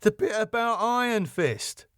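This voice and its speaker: A man's voice: high-pitched, expressive, measured pace, fairly bright tone, smooth, no echo, clean studio sound, voice pushed up. A man voicing a sardonic, ominous and exasperated club manager in a sitcom.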